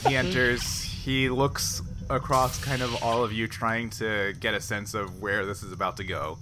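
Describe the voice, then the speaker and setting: A man's voice: fairly low pitch, fairly even intonation, measured pace, loud music behind the voice. A man acting as game master, narrating a tabletop role-playing game in an informal, conversational way. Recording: faint background noise.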